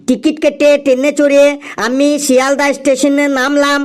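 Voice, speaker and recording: Cartoon schoolboy, acted by a man, high-pitched, cheeky, eager, sing-song reciting. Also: completely flat delivery, normal speed. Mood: eager, earnest. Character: cheeky schoolboy Felu, food-obsessed, earnestly reciting essays. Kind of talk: comic cartoon dialogue.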